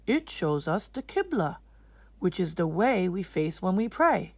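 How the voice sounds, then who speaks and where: A woman playing a calm, instructive father in a children's storybook, explaining in a measured way. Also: fairly low pitch, dry recording.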